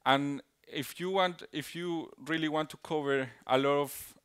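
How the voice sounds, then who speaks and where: Man from Colombia, conference speaker, resonant clear voice, halting delivery, many restarts. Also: on the high side, steady tone, a bit bright. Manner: halting, many restarts and fillers, tag "right?". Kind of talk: technical conference talk.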